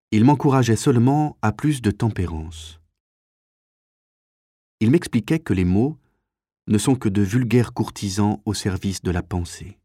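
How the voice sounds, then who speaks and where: A man reading literary prose as an audiobook narrator, in a measured, articulate and expressive scripted delivery. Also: lowish voice, dry recording, studio quality.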